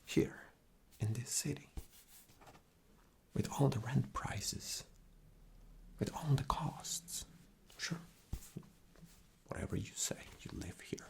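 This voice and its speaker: A man playing an arrogant, rude boss in a whispered ASMR interview roleplay, breathy, condescending and dismissive. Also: medium pitch, slow, long pauses, darkish tone. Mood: impatient, condescending.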